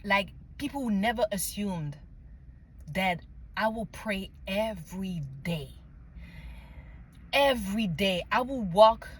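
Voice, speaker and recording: French accent woman vlogging off the cuff, emphatic, mid pitch, bit of noise in the background. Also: slow pace, pauses often, rough recording. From France and Mali. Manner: emphatic, often checks in with "okay?" and "right?". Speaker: spiritual vlogger sharing personal story. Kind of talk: casual unscripted personal storytelling.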